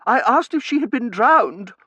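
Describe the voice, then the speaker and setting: A man voicing a bewildered middle-aged society mother in an audiobook, vague and drawn-out in manner. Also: sits high, sing-song, bright, forceful tone, no room sound, highs cut off, voice pushed up, full character voice. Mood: rising alarm.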